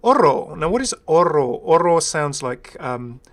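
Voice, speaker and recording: A man's voice: fairly high pitch, big pitch swings, measured pace. A man giving casual, rambling first-impressions commentary as a gaming video creator, chatty, enthusiastic and self-deprecating.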